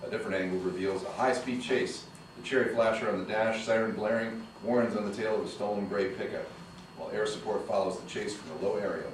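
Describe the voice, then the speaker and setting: A man's voice: fairly low pitch, fairly even intonation, fast. A man reading the stage directions at a screenplay table read, heard from a distance in an echoey, noisy room.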